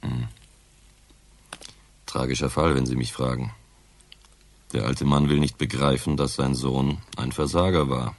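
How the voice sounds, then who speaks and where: A man's voice: very deep voice, fairly even intonation, measured pace, long pauses. A man playing a nervous, disdainful senior town official in a radio crime drama, brusque and dismissive.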